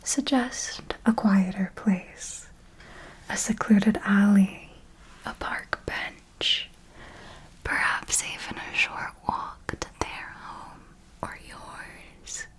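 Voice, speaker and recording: Woman playing a slow, whispering vampire mentor. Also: mid-range voice, low-quality recording. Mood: coaxing, predatory. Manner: slow, deliberate, hushed, doting yet menacing. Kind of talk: whispered ASMR roleplay.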